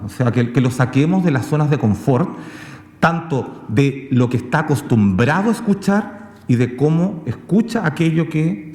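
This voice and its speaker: Guy presenting at a talk, kinda hesitant n halting, big echoey hall, normal pitch voice. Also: dark tone. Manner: hesitant, halting, checking with the audience. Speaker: presenter, sound documentary maker. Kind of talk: informal conference presentation. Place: reverberant conference room. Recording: echoey hall with long reverb.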